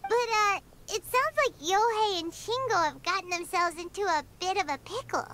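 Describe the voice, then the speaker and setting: A woman voicing a tiny, chirpy female companion sprite in a video game who reacts excitably to everything. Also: very high-pitched, normal speed. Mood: surprised. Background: game music.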